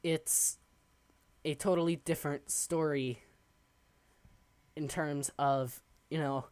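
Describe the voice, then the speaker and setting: A young man streaming a building game from his desk at home, chatting in a rambling, halting way, his voice raspy and hoarse from coughing. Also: a little high, fairly even intonation, a little mellow. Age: young adult. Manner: rambling, halting, many ums. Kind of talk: casual live-stream chat while building.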